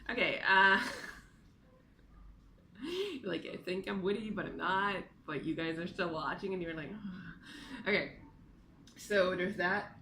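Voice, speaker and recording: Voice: medium pitch, steady tone, unhurried, pauses often, background noise. A native Hebrew speaker aged 32 talking casually and candidly in a personal vlog, with self-deprecating, jokey asides. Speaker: video blogger.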